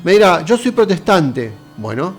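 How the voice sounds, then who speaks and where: A man's voice: high voice, big pitch swings, moderate pace, faint music behind. An Argentine man giving a casual philosophy lecture, talking straight to the viewer with rhetorical questions. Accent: Argentine Spanish. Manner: conversational, addresses viewer directly with rhetorical questions.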